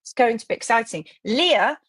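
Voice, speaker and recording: A woman's voice: on the high side, expressive, normal speed, fairly bright tone, breathy edge. A woman hosting a live stream, talking casually to viewers about feeding and greeting them by name. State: slightly croaky voice. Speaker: host of a live hoof care stream.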